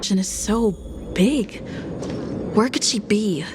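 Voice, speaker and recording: A curious, watchful woman in a video game, voiced by an actress, with a medium-pitched voice. She muses questioningly to herself while clear ambient noise runs behind her. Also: unhurried, lightly acted. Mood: puzzled. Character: curious, watchful female onlooker. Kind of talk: video game inner monologue acting.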